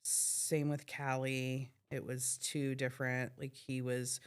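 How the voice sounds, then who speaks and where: Lively woman in her forties telling stories. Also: very deep voice, slow pace, clear, resonant tone. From Florida. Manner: animated storyteller, swears freely. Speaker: podcast guest. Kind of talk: casual chat.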